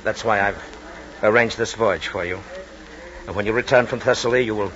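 A man playing a cruel, fawning emperor and son in an old-time radio drama, with theatrical, cloying and deliberate delivery. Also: on the low side, a bit bright, close to an everyday voice. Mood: silkily affectionate. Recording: dull, hissy old radio recording.

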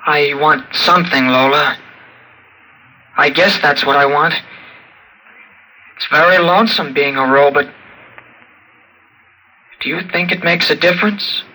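A man's voice: on the high side, measured pace, long pauses, bright, forceful tone, breathy edge, full character voice, low-quality recording. An actor in an old-time radio drama playing a childlike, lonely, menacing male robot with a harsh, droning voice. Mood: lonely, wistful. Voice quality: harsh, mechanical drone.

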